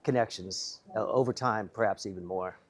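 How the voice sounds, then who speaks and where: A man's voice: on the low side, normal speed. A man giving a casual interview answer as a traveler.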